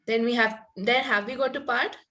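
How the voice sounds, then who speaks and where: A woman's voice: a little high, steady tone, moderate pace, pushed and bright. A woman playing a sweet, naively romantic young Victorian girl, speaking earnestly and a little primly in an amateur table read of a stage comedy. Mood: sweet, wistful.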